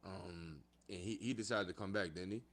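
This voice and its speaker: A man in his twenties with a low, deep voice talks as a guest on a sports podcast. He is casual and agreeable, using slang and pausing to think through his points. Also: steady tone, a bit bright. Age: 20s. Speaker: podcast guest, American football player.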